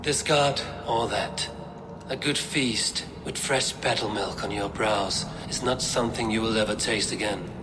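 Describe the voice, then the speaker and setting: An actor playing a grim Viking raider who speaks gruffly, bluntly and sternly. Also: male voice, lowish voice, unhurried, background noise, close to an everyday voice. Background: music.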